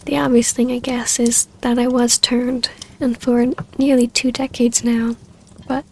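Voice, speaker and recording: A woman acting a wistful, tender vampire baroness in an ASMR roleplay, speaking softly and haltingly in an intimate delivery. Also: on the high side, flat delivery, a little hiss or hum, low-quality recording.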